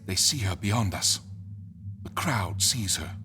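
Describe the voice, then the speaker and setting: An actor playing a tense, solemn radio announcer, giving measured, vivid live commentary on a crowded plaza in a verse drama. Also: male voice, on the low side, expressive, bright, forceful tone, faint music behind, heavily acted. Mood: hushed suspense. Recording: clear outdoor crowd and street ambience behind.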